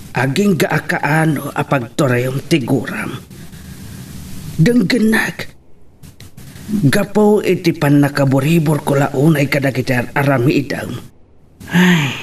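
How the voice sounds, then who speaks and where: A man's voice: fairly high pitch, distant mic, a little hiss or hum. An actor playing a weary, worried old grandfather, sighing and pleading in an Ilocano radio drama.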